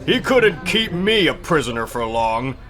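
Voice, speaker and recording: A man voicing a cocky, boastful teenage jock with smug, drawn-out bragging in a comic book reading. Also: a little high, big pitch swings, pushed and bright, soft background music, exaggerated voice.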